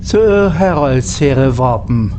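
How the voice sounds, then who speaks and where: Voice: mid-range voice, expressive, slow pace, music in the background. A person delivering scripted starship crew dialogue in character for a sci-fi role-play.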